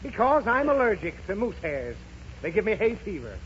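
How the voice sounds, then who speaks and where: A man's voice: high voice, sing-song, moderate pace, a bit bright. An actor playing an irritable American father in a 1930s radio comedy, grumbling and explaining things with huffy sarcasm. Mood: exasperated. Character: exasperated, grumbling father of a pestering little girl. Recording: dull, noisy 1930s radio recording.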